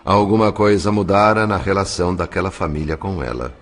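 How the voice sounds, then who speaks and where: A man's voice: low-pitched, brisk. A man with a Brazilian accent reading scripted dramatic narration as the narrator of a story. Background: background music.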